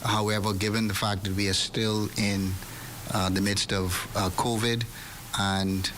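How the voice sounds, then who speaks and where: A man speaking as an official, giving a halting public statement full of "uh" fillers. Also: on the low side, level tone, a bit bright. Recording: noisy ambient background.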